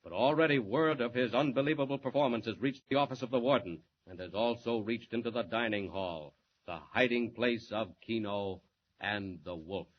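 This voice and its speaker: A man's voice: medium pitch, normal speed, lo-fi sound, slight background noise. Declamatory 1940s radio announcer, man. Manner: declamatory, suspenseful. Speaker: announcer and narrator. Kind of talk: dramatic 1940s radio narration.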